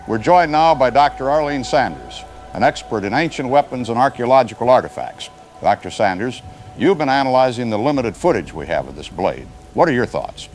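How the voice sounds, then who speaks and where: A man playing a serious, probing news anchor in an audio drama broadcast, measured and formal with a concerned manner. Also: mid-range voice, big pitch swings, faint music behind, close to an everyday voice, poor audio.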